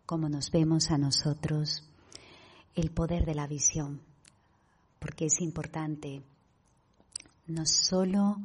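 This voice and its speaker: A woman with an accent from Spain leads a guided meditation, speaking calmly and deliberately in a husky, breathy voice with pauses. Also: sits low. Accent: Spanish from Spain. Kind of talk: guided meditation talk to an online audience.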